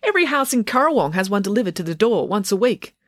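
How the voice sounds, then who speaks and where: A woman's voice: medium pitch, big pitch swings, quick pace, dry recording, clean studio sound, pitched above the performer's own voice. A woman reading a story, voicing a cheerful, slightly prim and self-assured teenage girl. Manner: bright, cheerful, a little prim.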